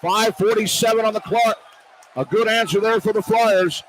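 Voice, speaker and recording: A man calling live basketball play-by-play on the radio, excitable and partisan, in clipped running descriptions. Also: sits high, normal speed. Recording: arena noise behind broadcast commentary. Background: arena crowd ambience.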